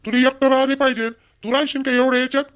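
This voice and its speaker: A man acting a high-pitched, scolding village husband. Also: flat delivery, fast talker, fairly bright tone, clear, resonant tone. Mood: scolding, accusing. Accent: Vidarbha Marathi. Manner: blunt, nagging, repetitive complaining. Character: scolding, fault-finding young village husband. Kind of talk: amateur cartoon dialogue in rural dialect.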